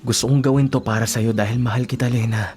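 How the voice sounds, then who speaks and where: A man playing a devoted young working-student boyfriend in a Filipino radio drama, speaking gently, earnestly and reassuringly. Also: mid-range voice, steady tone, fast talker, soft background music, natural voice. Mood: earnest, determined. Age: young adult.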